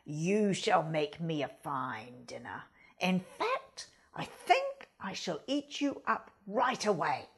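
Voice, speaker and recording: A woman's voice: lowish voice, wildly swooping pitch, takes it slowly, slightly rough, voice pushed down, exaggerated voice. A woman voicing a sly, hungry male fox in a storybook, gloating and menacing in a whispery way.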